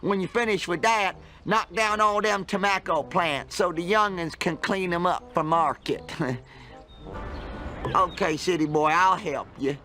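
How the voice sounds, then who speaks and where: An actor voicing a cheerful, simple-minded hillbilly farmer in a cartoon game, with a folksy rural Southern American drawl. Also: male voice, high voice, unhurried, bright, forceful tone, soft background music. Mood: folksy, gleeful. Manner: folksy drawl, cackling, whooping. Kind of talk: animated comedy game voice acting.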